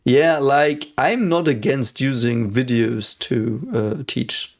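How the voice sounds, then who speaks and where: Streamer, man in his thirties, German accent, gravelly voice, loose rambling chat. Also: medium pitch, slow pace. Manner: rambling, many fillers and restarts. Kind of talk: casual live-stream chat.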